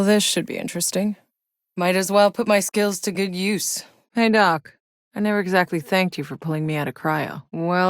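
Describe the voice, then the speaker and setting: A woman's voice: on the low side, no room sound, close to an everyday voice. An actress playing a tough, weary, sardonic female soldier in a sci-fi video game, blunt and dry in manner. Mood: cool, confident. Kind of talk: scripted video game character dialogue.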